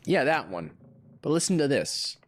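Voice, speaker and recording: A man's voice: fairly high pitch, sing-song, moderate pace. A man acting a chipper, needling lawyer in an audio drama, breezy, sarcastic and boastful. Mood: animated, flippant.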